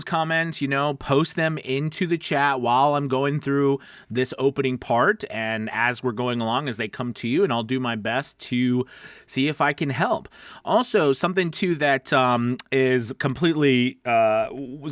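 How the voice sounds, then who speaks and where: A man hosts a live coaching stream for voice actors. His pitch sits in the middle of the range, and he talks in a loose, rambling way, full of fillers and restarts. The sound is dry and close, with no room around it. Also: fairly bright tone, no room sound. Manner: chatty, rambling with many fillers. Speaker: host.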